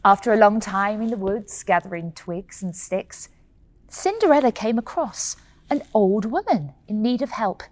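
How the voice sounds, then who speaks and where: A woman telling a children's bedtime fairy tale in an expressive, playful way, laughing often. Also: medium pitch, normal speed, dry recording. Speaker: storyteller. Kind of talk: children's bedtime story reading.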